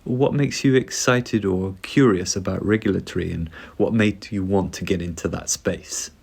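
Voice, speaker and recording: A man hosting a podcast, reading a smooth scripted introduction and asking interview questions. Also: deep voice, normal speed. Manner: smooth, read-aloud delivery. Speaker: podcast host. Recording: music bed behind the voice. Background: music.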